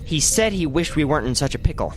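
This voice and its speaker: Radio comedy sidekick, man acting: dry wit, helpful, animated intonation, faint music behind. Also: on the high side, quick pace. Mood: concerned.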